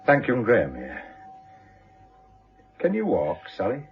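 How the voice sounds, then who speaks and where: A middle-aged British police inspector in a radio drama, dry and fatherly with quiet authority. His voice is low and lively in its movement, heard on a slightly dull recording. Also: unhurried, gravelly, full character voice. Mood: calm, commanding. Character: wry, steady, fatherly senior police inspector.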